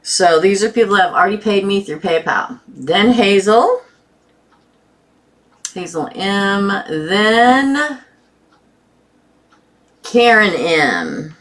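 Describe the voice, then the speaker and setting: A woman's voice: medium pitch, expressive, slow pace, long pauses, a bit bright. A woman hosting a tarot live stream with a sore throat, chatting casually and greeting viewers by name.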